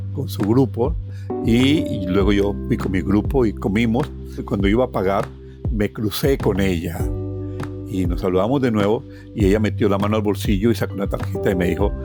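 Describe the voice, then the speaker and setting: A middle-aged man with a Colombian accent telling personal stories, with emphatic repetition and conversational tag questions. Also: on the low side. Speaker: interview guest, tour guide. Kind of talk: personal storytelling.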